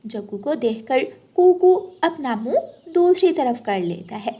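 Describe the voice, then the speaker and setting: A woman narrates a children's tale from a script. Her voice sits fairly high in pitch. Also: lively intonation, unhurried, mellow, breathy edge.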